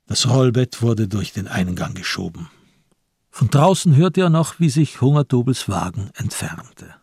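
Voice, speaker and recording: Husky, slow male narrator. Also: mid-range voice, lively intonation, a little mellow, no echo, studio quality. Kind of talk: literary radio drama narration.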